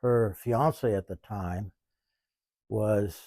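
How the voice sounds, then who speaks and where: A man in his seventies, talking in a measured, thoughtful way and pausing often mid-sentence, in an interview. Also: on the low side, mellow, no room sound, studio quality. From Canada. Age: 70s. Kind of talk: reflective interview. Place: small museum.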